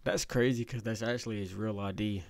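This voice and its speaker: Gravelly, low laid-back commentator man. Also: fairly even intonation, a little fast, a crowd in the background. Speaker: commentator reacting to his video. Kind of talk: reaction commentary.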